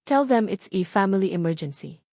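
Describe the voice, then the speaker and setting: A woman's voice: medium pitch, animated, moderate pace, a little mellow, dry recording, studio quality. A woman narrator playing a determined, wealthy businessman in an audio romance story, hesitant yet insistent.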